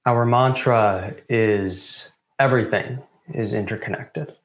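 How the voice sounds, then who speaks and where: A man in his twenties giving a formal, deliberate welcome speech as co-founder of a student theatre group. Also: lowish voice. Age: 20s. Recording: roomy, echoing sound.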